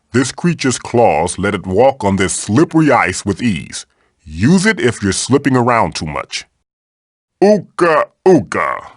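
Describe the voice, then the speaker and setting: A man's voice: mid-range voice, no room sound, clean studio sound. A man voicing a wise, wisecracking ancient magical mask who guides players through a video game in a theatrical, avuncular, wry way. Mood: indignant. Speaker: game guide character giving tutorials. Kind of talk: animated video game voice acting.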